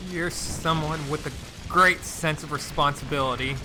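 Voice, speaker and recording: A man playing a badly burned, stoic explosion survivor in an amateur audio drama, halting, strained and resigned. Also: steady tone, lightly acted. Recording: very loud rain and rumble over voice.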